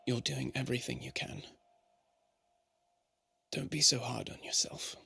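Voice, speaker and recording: An actor playing a gracious, ailing prince in a radio drama, with creaky, weary, refined speech and a stoical, kindly temper. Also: male voice, mid-range voice, level tone, measured pace, pushed and bright, no echo, heavily acted.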